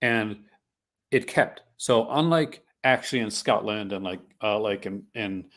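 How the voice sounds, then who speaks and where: A man's voice: fairly low pitch, measured pace, a bit bright. A Canadian man, a podcast host with a mixed Canadian accent partly from Newfoundland, chatting casually and rambling through restarted sentences. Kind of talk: casual chat.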